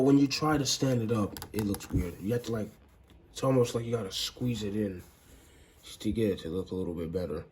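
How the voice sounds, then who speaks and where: A man with a congested voice, casually reviewing a toy in a rambling, halting way. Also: lowish voice, a little mellow, a little hiss or hum. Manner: rambling, halting, restarts sentences often. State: congested, stuffy nose.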